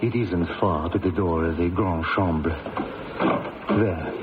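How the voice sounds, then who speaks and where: A kindly guard lieutenant in an old radio play, courteous and careful. His low voice moves very slowly through lively rises and falls. Heavy noise covers the thin recording. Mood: gentle, subdued. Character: solicitous, gentle male lieutenant guarding the condemned queen. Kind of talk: old-time radio drama acting. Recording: very noisy, narrow-band old radio.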